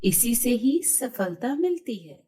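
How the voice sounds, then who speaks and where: A woman's voice: fairly high pitch, big pitch swings, slow, dark tone, slightly rough. A woman narrating a scripted moral story for children.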